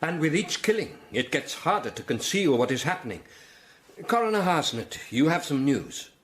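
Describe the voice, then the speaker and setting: An actor playing a grave, commanding archbishop in a period radio drama, formal and authoritative. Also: male voice, on the high side, very animated, moderate pace, a little hiss or hum. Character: grave, commanding Tudor archbishop, urgent and exacting.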